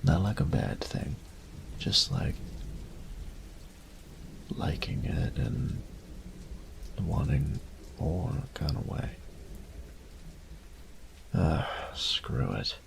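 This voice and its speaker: Man acting as a tender young male roommate, romantic audio roleplay, heavy vocal fry, lively intonation, breathy murmur, faint rain and thunder behind. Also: very deep voice, drawn-out words, long pauses, noisy background, full character voice. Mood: nervous, earnest. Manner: soft breathy murmurs, teasing pet names.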